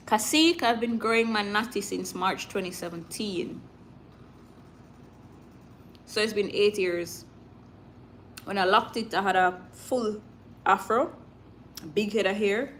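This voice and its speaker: A woman with a Jamaican accent talks casually to viewers as a livestream host. Her voice sits at a middle pitch. She slips informally into Patois, and there is faint noise behind her. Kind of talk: casual live chat with viewers. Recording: clear background noise.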